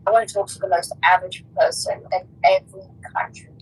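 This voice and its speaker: A woman's voice: lowish voice, steady tone, fast talker, slight background noise, poor audio. A woman co-hosting a podcast, chatting casually over an online voice call. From Africa. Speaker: podcast co-host.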